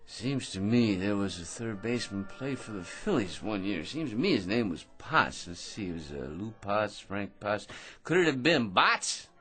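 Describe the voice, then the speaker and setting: An actor playing a self-important, talkative loser at a bar, pompous and stammering, in a radio drama. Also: male voice, fairly low pitch, big pitch swings, moderate pace, hardly any pauses, a bit bright, soft background music. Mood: chatty. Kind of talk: old-time radio drama acting.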